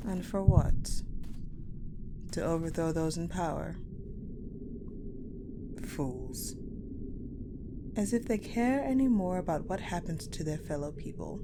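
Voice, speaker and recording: A woman playing a sadistic, ice-powered female general in an audio roleplay, coldly menacing and controlling, deliberate and taunting, often dropping to a whisper. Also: fairly low pitch, background noise. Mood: scornful. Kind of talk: dramatic audio roleplay acting.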